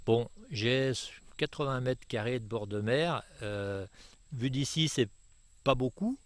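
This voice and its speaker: A man's voice: mid-range voice, takes it slowly. A retired Frenchman in his sixties being interviewed outdoors, talking with many fillers and restarts. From France. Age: 60s. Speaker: retired property owner. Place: outdoors on a jungle estate.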